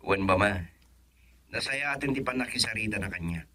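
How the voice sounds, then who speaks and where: A man playing a bright-voiced devoted son. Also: fairly low pitch, lively intonation, brisk. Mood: earnest. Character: devoted young adult son, hopeful in love. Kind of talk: radio drama acting.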